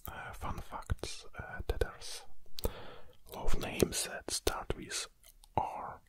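Man whispering softly, Russian accent. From Kazakhstan. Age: 40s. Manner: soft, unhurried whisper with frequent hesitations and small laughs. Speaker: ASMR channel host. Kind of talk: ASMR close whispering. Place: small dark home studio. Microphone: studio condenser mic on a stand, wearing headphones.

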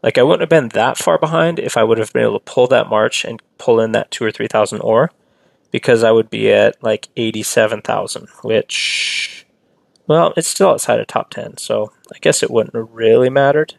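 Male game streamer, casual chatty play-by-play, gravelly edge, dry close sound, talks to chat. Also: mid-range voice, normal speed, dry recording. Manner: chatty, reads and answers chat, rambling asides. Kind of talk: casual live-stream commentary.

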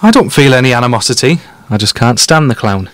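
A man's voice: medium pitch, expressive, quick pace, a bit bright, no echo, studio quality, lightly acted. A man playing a cocky, sardonic detective in an audio drama, blunt and drily sarcastic, with a Teesside accent. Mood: cocky, needling. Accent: Teesside English. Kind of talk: audio drama staged as a podcast.

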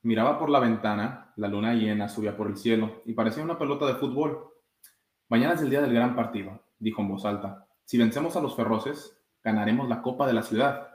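Young man, mid-pitched, slightly halting storybook reader, roomy webcam sound. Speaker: soccer goalkeeper reading a children's book. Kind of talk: read-aloud storytime. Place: small room with blinds, indoors.

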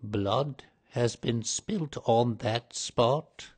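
A man doing an eerie old housekeeper woman. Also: darkish tone. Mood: ominous. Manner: low, slow, mysterious delivery.

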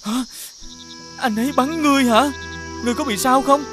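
An actor voicing a kind, naive young prince in an animated fairy tale, earnest and innocent. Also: high-pitched, normal speed, over music, lightly acted. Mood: concerned.

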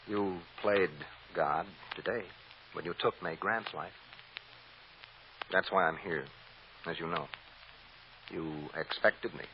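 An actor playing a stern, righteous defense lawyer, deliberate and insistent, in an old-time radio drama. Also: male voice, low-pitched. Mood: stern, accusing. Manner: deliberate, insistent, repeats phrases for emphasis. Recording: dull, noisy old radio recording.

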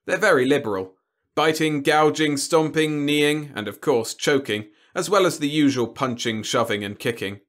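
A man voicing a cool, sly diplomat in an audiobook, speaking in a measured, dryly formal way. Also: on the high side, a bit bright, pitched above the performer's own voice, lightly acted. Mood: matter-of-fact. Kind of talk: audiobook character dialogue.

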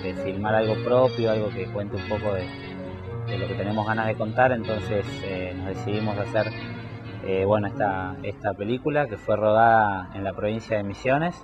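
Man in his thirties, film producer, steady interview talk, lowish voice, dull lo-fi sound, loud music behind. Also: normal speed.

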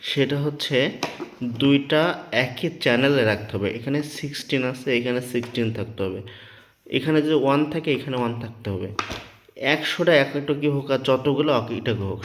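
A man with a Bangladeshi accent giving an informal hands-on tutorial, explaining step by step, repeating himself and often checking that listeners follow. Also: mid-range voice. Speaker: product demonstrator. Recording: noticeable background noise, small clicks.

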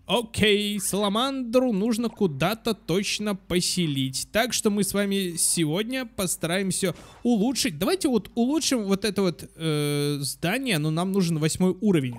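A man with a high-pitched voice hosts a children's gaming video. He comments casually on the gameplay as he goes. His manner is excitable and affectionate, slipping into cutesy baby talk.

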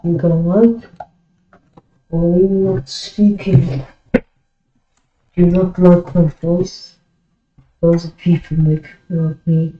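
A woman's voice: lowish voice, fairly even intonation, takes it slowly, long pauses. A woman gamer chatting casually over in-game voice chat in a plaintive, pestering manner. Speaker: online game player. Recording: phone-like, echoey game voice chat.

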